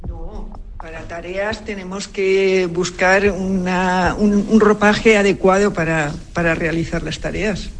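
A woman who is a cloistered nun, explaining her order's customs in a calm, measured way. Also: lowish voice.

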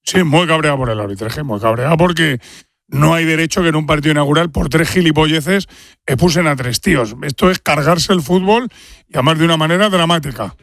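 A man's voice: fairly high pitch, brisk, creaky, a bit bright, dry recording, clean studio sound. A man with a Castilian Spanish accent giving blunt, indignant opinions on football in coarse everyday words.

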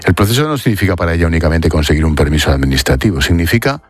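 A man's voice: sits low, lively intonation, rapid-fire, faint music behind. A man with an accent from Spain, presenting news and interviewing guests in a broadcast style.

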